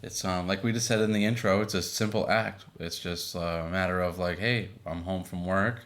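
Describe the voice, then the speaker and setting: A man in his thirties co-hosting a podcast with his wife, chatting casually with a relaxed, filler-laden manner. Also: deep voice, moderate pace. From Boston area. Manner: relaxed, many fillers and restarts. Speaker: podcast co-host. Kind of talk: casual chat.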